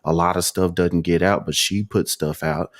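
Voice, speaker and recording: Male podcast panelist with a notably deep voice, chatting casually about games in a group. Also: steady tone, moderate pace, no echo, studio quality.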